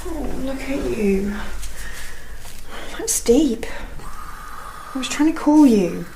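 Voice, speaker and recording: Fretful young newlywed for radio drama; high voice, uneasy, faint background noise. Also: female voice, animated, takes it slowly, echoey room, heavily acted. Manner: fretful, insistent. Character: anxious, superstitious young newlywed wife. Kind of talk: naturalistic radio drama acting.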